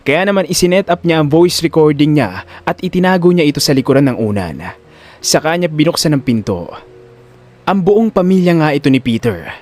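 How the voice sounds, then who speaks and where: A man's voice: on the high side, very animated, fairly quick, a little mellow. A man narrating a scripted audio drama in a dramatic storytelling style.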